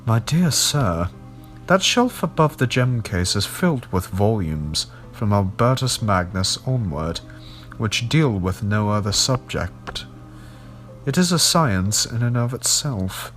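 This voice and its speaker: A man reads as a learned gentleman collector in a gothic storybook tale. He lectures in a pedantic, knowing way, lowish and very unhurried, over faint music. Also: big pitch swings, exaggerated voice. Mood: knowing, probing.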